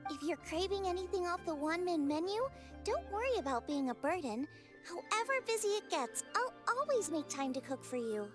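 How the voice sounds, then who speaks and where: A bubbly teenage cook from a video game. Her voice is extremely high and swoops with lively inflection, chirpy and informal. Prominent music plays behind her. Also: moderate pace. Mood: friendly, eager. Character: bubbly, eager, hardworking teenage girl cook.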